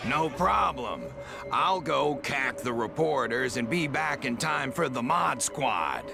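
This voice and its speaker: A man playing a cocky, grumbling alien invader from a video game, with gravelly, sardonic, slangy delivery. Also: medium pitch, expressive, takes it slowly, music in the background. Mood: flippant. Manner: sardonic, slangy wise guy. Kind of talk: animated video game voice acting.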